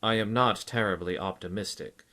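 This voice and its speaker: A man voicing a stout psychiatrist in an audiobook, grave and solicitous, with an earnest, deliberate, clinical manner. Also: on the low side, pushed and bright. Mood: frank, measured. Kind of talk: audiobook character acting.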